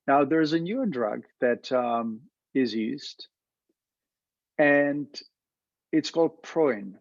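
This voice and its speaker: A man with a Czech accent talks through a veterinary topic in an explanatory way, now and then repeating himself as he finds his words. His voice sits at an ordinary middle pitch. The recording is dry and a little dull. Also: lively intonation, slow pace, no echo. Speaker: veterinarian host. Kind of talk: educational talk.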